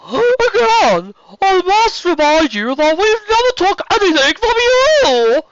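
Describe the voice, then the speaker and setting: A man's voice: very high-pitched, very animated, slow pace, fairly bright tone, no echo, lo-fi sound, voice pushed up, heavily acted. A man voicing a haughty, imperious sea serpent queen with a grand, cutting, condescending manner in a story reading. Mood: indignant.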